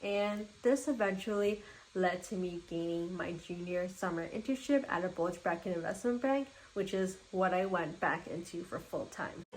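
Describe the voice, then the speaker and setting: A woman's voice: medium pitch, a little hiss or hum, low-quality recording. A young woman in her twenties, a native Mandarin speaker, walking viewers through her resume in an upbeat, orderly vlog. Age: 20s. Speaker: vlogger.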